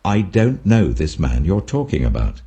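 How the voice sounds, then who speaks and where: A man's voice: low-pitched, very animated, normal speed, warm tone, husky, full character voice. A man with a British accent voicing an agitated, defensive male disciple in a scripture reading, emphatic in denial. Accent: British Received Pronunciation.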